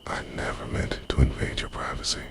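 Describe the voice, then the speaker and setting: A man narrates a scripted romantic ASMR roleplay in a hushed, breathy, confessional voice that often slips into a whisper. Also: brisk.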